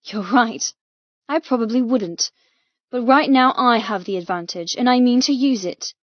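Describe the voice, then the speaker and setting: A woman's voice: fairly high pitch, normal speed, no room sound, muffled recording, pitched above the performer's own voice, close to an everyday voice. Woman acting a defiant, heated young captive. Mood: bold, provoking. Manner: challenging, emphatic, heated. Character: defiant young female captive, bold and provoking. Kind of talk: audiobook dramatic dialogue.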